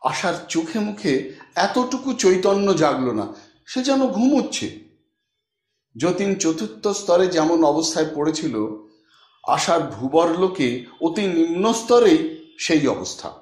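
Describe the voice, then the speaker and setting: A man narrating a literary audiobook expressively, with dramatic, deliberate phrasing and pauses. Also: fairly high pitch, big pitch swings, darkish tone, echoey room.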